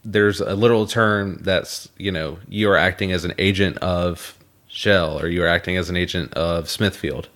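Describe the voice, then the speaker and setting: A young man with a scratchy throat, co-hosting a casual podcast and explaining things in a measured way. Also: low voice, steady tone, fairly bright tone. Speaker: podcast co-host.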